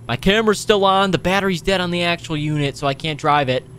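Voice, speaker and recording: A young man doing casual, excitable roleplay commentary over a video game, animated and slangy with frequent exclamations. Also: sits high, quick pace, clean tone. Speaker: gaming video host.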